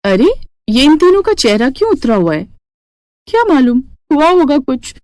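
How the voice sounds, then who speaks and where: A woman's voice: high-pitched, sing-song, normal speed, a little mellow, no echo, clean studio sound, pitched above the performer's own voice. A woman voicing a young newlywed daughter-in-law, questioning and put-upon, in a cartoon moral story. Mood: puzzled.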